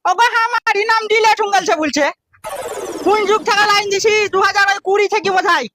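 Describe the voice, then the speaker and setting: A man's voice: very high-pitched, a little fast, noisy background. A man playing an aggrieved old village man in a comic skit, complaining with rhetorical questions in a rural West Bengal accent. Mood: weary grumbling. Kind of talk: comic social skit acting. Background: faint music.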